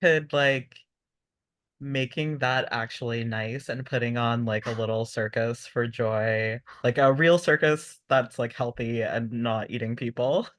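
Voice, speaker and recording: A man's voice: medium pitch, fairly even intonation, measured pace. A man chatting casually as a tabletop player, hesitant and self-deprecating as he offers ideas. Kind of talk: casual story brainstorming.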